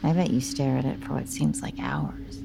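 An actress playing a sinister apparition of a desperate woman's own self, coaxing and taunting in a creaky voice, in an audio drama. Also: extremely low pitch, normal speed, background noise, heavily acted. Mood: insinuating.

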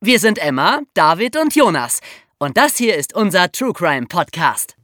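A man co-hosting a scripted true-crime podcast, reading in an emphatic, animated way. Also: high voice, measured pace, loud music behind the voice. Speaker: podcast co-host.

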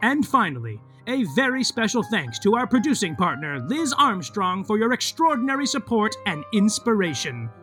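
A man's voice: high voice, big pitch swings, moderate pace, few pauses, bright, forceful tone, soft background music, full character voice. A man playing a mock-grandiose, tongue-in-cheek narrator of an audio soap opera, delivering scripted narration theatrically. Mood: grandly grateful. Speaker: narrator reading recap and credits.

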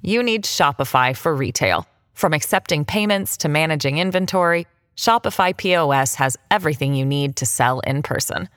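A woman with a notably low voice reads scripted ad copy. Her intonation is lively and varied, and the recording is dry and close. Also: normal speed, clear, resonant tone, no echo. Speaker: advertisement reader. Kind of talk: scripted podcast ad read.

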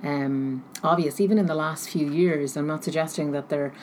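A woman answering interview questions thoughtfully, pausing often as she searches for her words. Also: sits low, steady tone, a little mellow. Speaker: interview guest, technology researcher. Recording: noticeable background noise.

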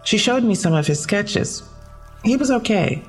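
A female police detective in an audio drama. Her voice is mid-pitched and businesslike, laying out facts plainly with a touch of social awkwardness. Faint music runs underneath. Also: animated, a little slow, husky. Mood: matter-of-fact. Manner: matter-of-fact, clipped case briefing.

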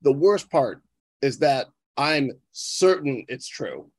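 A man speaking in a deadpan way on a podcast, as a contributor talking and reading aloud. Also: medium pitch, takes it slowly, dry recording, clean studio sound.

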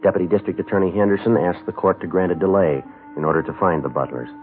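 An actor playing a stoic, businesslike police sergeant in a 1950s radio drama, speaking in a terse, clipped, matter-of-fact way. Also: male voice, sits low, fast, lightly acted. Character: stoic, businesslike male police sergeant narrating his case. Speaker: narrator and lead detective. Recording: muffled old radio broadcast, music.

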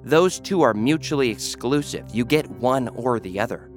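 A man's voice: mid-range voice, animated, normal speed, faint music behind. A man narrating a scripted audio drama in first person as an arrogant scientist, condescending and dryly sarcastic. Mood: smug. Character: arrogant male scientist who builds people. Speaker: narrator and lead character.

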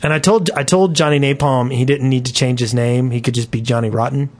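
Halting man chatting on a gaming podcast. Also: mid-range voice, steady tone. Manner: halting, repeats words as he goes. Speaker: podcast co-host. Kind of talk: casual group chat about gaming.

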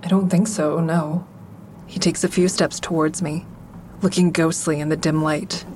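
A woman's voice: deep voice, steady tone, normal speed, darkish tone, slight background noise, lightly acted. An actress in an audio drama playing a guarded, self-doubting, caring woman, reflective and hesitant in dialogue and first-person narration. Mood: guarded, uneasy.

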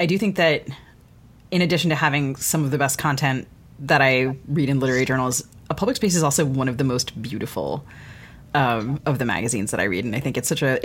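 A woman co-host speaks in an effusive, conversational way during an interview. Her voice sits very low for a woman. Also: husky. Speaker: podcast co-host.

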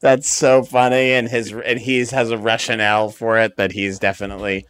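A man in a casual podcast conversation as the comedian guest, joking and telling stories in an animated way. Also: medium pitch, moderate pace, smooth.

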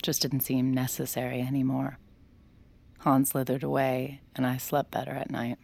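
Low, creaky, wry woman. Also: completely flat delivery, normal speed, darkish tone, exaggerated voice. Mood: uneasy narration. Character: wry guarded younger woman, secret mind reader, anxious. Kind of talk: audio drama dialogue and first-person narration.

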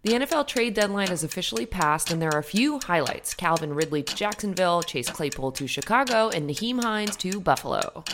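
A woman's voice: fairly low pitch, expressive, brisk, hardly any pauses, fairly bright tone, slight background noise. Sleepy-voiced woman podcast co-host. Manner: playful, self-mocking. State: tired, low morning voice. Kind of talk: casual sports podcast chat.

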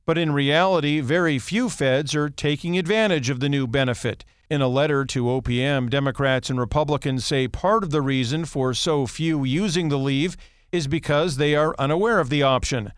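A man's voice: fairly high pitch, moderate pace, clean tone, no room sound, studio quality. A man with an American accent reading scripted news as an anchor.

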